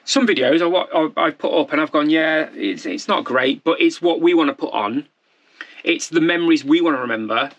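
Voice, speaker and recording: A man's voice: a little high, fairly bright tone. A man in his forties, a vlogger talking off the cuff to camera in a candid, rambling, self-deprecating way. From United Kingdom. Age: 40s.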